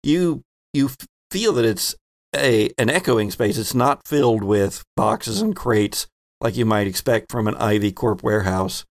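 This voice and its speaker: A man acting as game master, narrating a tabletop role-playing scene in a halting, deliberate way. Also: on the low side.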